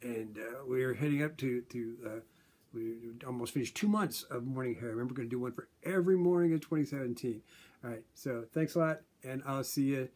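A man in his sixties telling a made-up family story in a rambling, playful, deadpan way to a webcam in a small room at home. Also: medium pitch, fairly quick. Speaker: vlogger. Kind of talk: rambling comic monologue. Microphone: webcam at desk distance.

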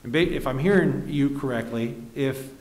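A man speaking as a city official, giving measured, procedural budget explanations at a desk microphone through a face mask. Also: mid-range voice. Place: indoor council chamber. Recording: echoey meeting room.

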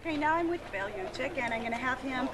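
Peppy high-voiced woman interviewer. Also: lively intonation, quick pace. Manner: peppy, cheerleading. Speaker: company video interviewer. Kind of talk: upbeat on-camera interviews. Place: outdoor baseball stadium. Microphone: handheld mic on camcorder audio. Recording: distant camcorder audio with noise.

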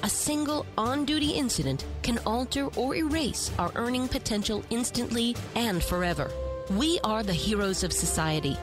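A woman's voice: on the high side, expressive, normal speed. Dramatic female show announcer over music. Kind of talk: scripted promo intro.

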